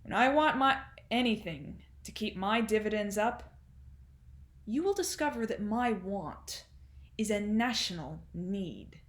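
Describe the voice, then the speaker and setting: A woman's voice: mid-range voice, lively intonation, measured pace, roomy sound, natural voice. Woman acting a sneering, pompous male tycoon. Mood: imperious, menacing. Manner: sneering, pompous, theatrical oratory. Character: cynical, cruel, mocking male arms-tycoon father. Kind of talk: stage monologue acting.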